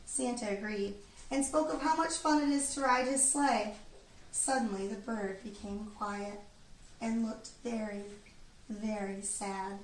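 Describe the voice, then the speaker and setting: A woman reading a children's storybook aloud in an expressive, deliberate storytelling manner. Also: a little high. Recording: echoey room, distant mic, background noise.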